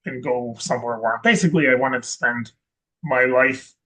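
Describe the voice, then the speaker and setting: A man from Scotland talks through his story as an interview guest. He wanders through his points, restarting sentences and filling gaps with ums. His voice sits at an ordinary middle pitch. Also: normal speed, sharp tone. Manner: rambling, self-correcting with many ums and restarts. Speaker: guest investor. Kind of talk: casual interview chat.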